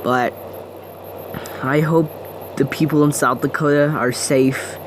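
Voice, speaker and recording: A man playing a local TV news anchor, giving a halting, unsure breaking news report. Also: a little high, fairly even intonation, background noise. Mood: hesitant, earnest.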